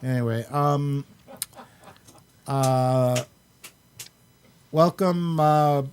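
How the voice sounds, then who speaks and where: A man's voice: medium pitch, extremely slow, a little mellow, a little hiss or hum. A man hosting a live online show, chatting casually, laughing often and using many fillers, over a computer mic on a video call. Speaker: host.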